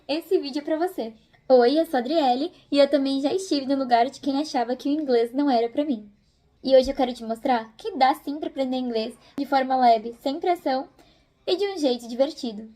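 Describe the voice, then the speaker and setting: A woman's voice: high voice, a little fast, warm tone. A young Brazilian woman chatting casually and encouragingly as she gives tips in a video. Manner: informal, encouraging. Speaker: host of a video channel.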